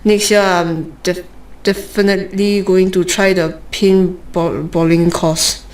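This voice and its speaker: A woman reading a passage aloud as an exam candidate, monotonous and hesitant, with slurred words and stumbles. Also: lowish voice, takes it slowly.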